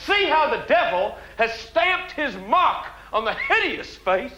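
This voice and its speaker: An actor playing a fervent, money-hungry American evangelist, preaching theatrically in a bombastic, chanting style. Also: male voice, very high-pitched, big pitch swings, unhurried, bright, forceful tone, slight background noise, heavily acted, poor audio. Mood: denouncing. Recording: stage show with music and crowd.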